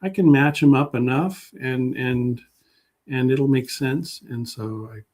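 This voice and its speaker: A man talking casually as an interview guest, halting and unassuming as he thinks aloud. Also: mid-range voice, a little mellow. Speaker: guest public historian.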